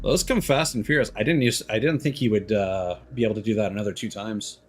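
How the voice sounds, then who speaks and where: A young male streamer with a fairly low voice rambles frankly over his gameplay, swearing now and then as thoughts spill out. Game sounds run clearly underneath him. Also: fast, slight background noise. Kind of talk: casual gameplay commentary.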